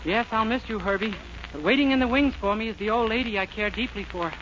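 An actor playing a suave, self-important stage idol in a mock-romantic 1940s radio comedy sketch. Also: male voice, high voice, fast talker, natural voice. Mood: fond. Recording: muffled, noisy old radio recording.

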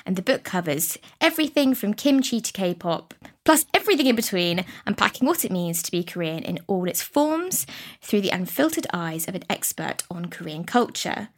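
A woman's voice: mid-range voice, sing-song, normal speed. Woman cohost on a podcast, friendly short questions, mid voice. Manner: brief, encouraging prompts. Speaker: podcast co-host. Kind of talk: podcast interviewing.

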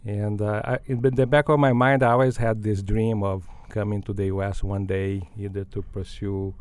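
A man's voice: on the low side, flat delivery, normal speed, a little mellow, no echo. An older man from Brazil, a guest answering interview questions thoughtfully, with frequent fillers and restarts. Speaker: guest, business school dean.

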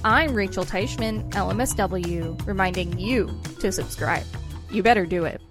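A woman reads reference articles aloud as a podcast co-host. Her pitch is average for a woman, her remarks are informal, and music plays softly behind her. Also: moderate pace. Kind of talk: reading aloud with casual commentary.

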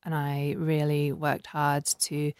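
Guest: mature female, gently English-accented, low register, chatty storytelling in a dry studio sound. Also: monotone, slow, clear, resonant tone, no echo. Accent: English, softened by years abroad. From North London, England. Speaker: interview guest, business founder. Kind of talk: conversational storytelling.

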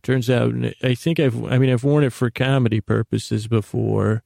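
Male podcast host, middle-aged, gravelly fry, halting lulling bedtime rambles, dry intimate recording. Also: medium pitch, steady tone, darkish tone, dry recording. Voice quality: gravelly, creaky. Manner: meandering, halting, repeats and trails off; lulling, soothing, friendly tones. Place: small closet full of clothes, indoors.

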